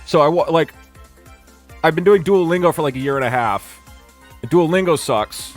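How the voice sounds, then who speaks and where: Man, streamer, casual rambling chat, animated pitch, faint music behind. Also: normal speed. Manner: rambling, animated, frequent restarts and fillers. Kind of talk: casual chat reacting to viewer comments. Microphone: desk mic at a green screen setup.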